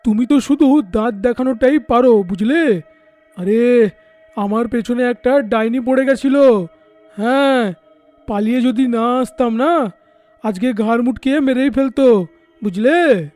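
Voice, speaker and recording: An excitable, simple village craftsman acted by a man in a cartoon tale. The voice is strikingly high yet mellow, emphatic and fretful, over faint music. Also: unhurried, long pauses. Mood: irritated, frightened. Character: simple, excitable village idol-maker husband.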